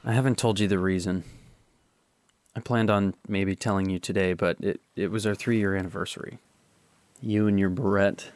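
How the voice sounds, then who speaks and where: A man's voice: fairly low pitch, darkish tone, natural voice. An actor playing a remorseful young male student in a radio drama, confessing hesitantly with stammers and restarts. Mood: apologetic. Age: young adult.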